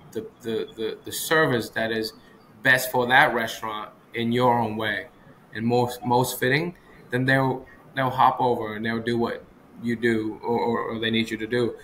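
Bright-voiced man chatting, noisy video call. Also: mid-range voice, fairly even intonation, normal speed. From Vietnam. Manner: repetitive, often ends points with "right?". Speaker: executive chef, interview guest. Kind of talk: casual conversational interview. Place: small room indoors, on a video call. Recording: video call with clear background noise.

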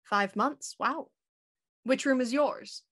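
Woman playing high-voiced, probing inspector. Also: fairly bright tone, breathy edge, exaggerated voice. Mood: dry, probing. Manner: probing, measured, dry. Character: cool, probing police inspector, dryly skeptical woman. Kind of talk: radio mystery acting, live online table read.

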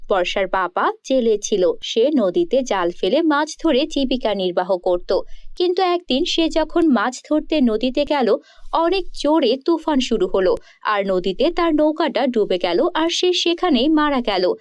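A woman's voice: high-pitched, sing-song, a little slow, dry recording. A woman storyteller reading scripted narration for a children's story.

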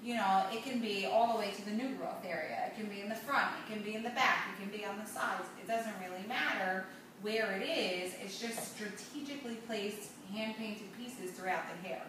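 A woman's voice: mid-range voice, moderate pace, crowd noise. A young adult woman co-hosting a salon talk, explaining things in casual chat. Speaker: salon talk show co-host, hair stylist. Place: indoor hair salon seating area. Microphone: far camera. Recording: distant mic, echoey room, noisy background.

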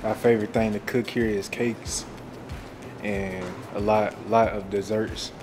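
A young man answers interview questions in a cafe. He talks slowly with a flat, even melody, and music plays clearly behind him. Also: lowish voice. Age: young adult. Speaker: head cook and incoming student. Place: indoor cafe.

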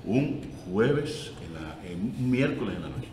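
A man's voice: lowish voice, very animated, unhurried. A middle-aged Cuban man with a Cuban Spanish accent, telling a story as a talk show guest and acting out the voices he quotes. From Cuba. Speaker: guest actor. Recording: roomy echo, distant mic, audible room noise.

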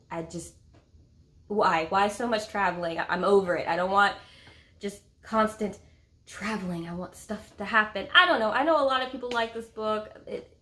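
Expressive woman chatting casually about books. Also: medium pitch. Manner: expressive, emphatic, repeats words for effect. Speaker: book reviewer and co-host of a book vlog. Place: small indoor room at home with a bookcase.

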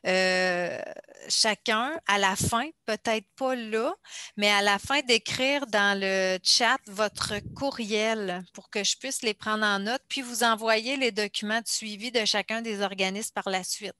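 A woman's voice: medium pitch, slow pace, bright, forceful tone, smooth, no echo. A woman with a Quebec French accent moderating an online meeting in an informal way. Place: indoors, on a video call. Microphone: computer mic on video call.

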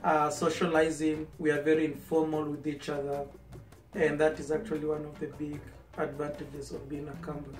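Higher-voiced young Kenyan man. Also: completely flat delivery, normal speed. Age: 20s. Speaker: interview guest. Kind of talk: casual chat. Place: small indoor room. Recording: roomy, distant mic, music underneath.

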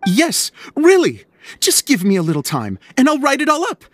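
Theatrical playwright, animated delivery. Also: male voice, very high-pitched, normal speed, no room sound, full character voice. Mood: excited. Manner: theatrical, flustered, expressive. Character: excitable theatrical middle-aged male playwright and director. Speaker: theater director and scriptwriter character. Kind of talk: video game voice acting.

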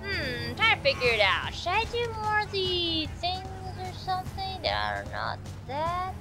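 A woman's voice: extremely high pitch, wildly swooping pitch, unhurried, over music. A woman hosting a let's play, rambling and giggling to herself as she plays, a bit congested from allergies. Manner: rambling, self-chatting, giggly. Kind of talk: casual gameplay commentary.

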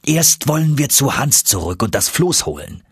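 A man's voice: mid-range voice, big pitch swings, normal speed, breathy edge, dry recording, clean studio sound, voice pushed up, exaggerated voice. A man voicing a driven older professor and uncle in an audiobook, authoritative and urging. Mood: commanding.

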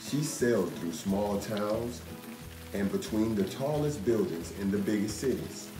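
A man in his thirties reading a children's picture book aloud in an expressive, measured storytelling manner. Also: on the low side. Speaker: storytime reader, host. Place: indoor room. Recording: roomy echo. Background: music.

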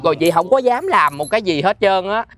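A man's voice: high-pitched, expressive, a little fast, a bit bright. A man with a Southern Vietnamese accent playing an aggrieved, theatrical elder sister in a comedy skit.

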